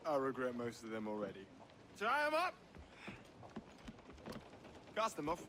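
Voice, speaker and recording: An actor playing a sly, sneering pirate turncoat who drawls and taunts smugly. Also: male voice, mid-range voice, wildly swooping pitch, measured pace, sharp tone, exaggerated voice. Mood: smug, taunting. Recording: noisy sound behind the voice.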